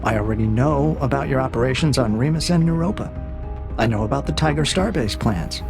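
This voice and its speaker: An actor playing a cold, calculating interrogator AI in an audio drama, with a measured, probing and quietly menacing manner. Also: male voice, mid-range voice, lively intonation, creaky, over music. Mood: detached, menacing. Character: cold, calculating male interrogator AI breaking a prisoner. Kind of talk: scripted audio drama acting.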